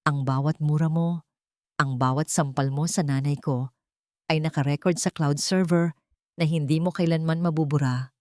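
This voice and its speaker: A woman's voice: sits low, fairly even intonation, moderate pace, a little mellow, dry recording, clean studio sound, natural voice. A woman voicing a cold, authoritative betrayed rich man in a dramatic audio storybook. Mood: cold authority.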